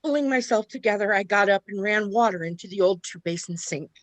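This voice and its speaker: A woman's voice: medium pitch, fairly quick, dry recording, close to an everyday voice. An actress playing a weary, tender, remorseful mother in a radio play, earnest and emotional. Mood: subdued, tender. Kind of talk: radio play acting and first-person narration.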